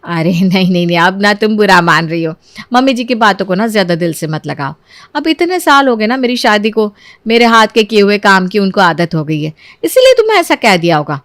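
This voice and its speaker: A woman's voice: mid-range voice, sing-song, brisk, a bit bright, dry recording. A woman voicing an anxious, coaxing sister-in-law in a cartoon moral story, with a pleading, exclaiming manner.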